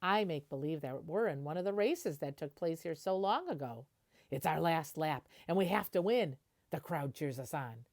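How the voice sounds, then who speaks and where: Lively woman reading a picture book aloud. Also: low voice, normal speed. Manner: animated storytelling, explaining and translating as she goes. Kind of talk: expressive picture-book read-aloud with explanatory asides.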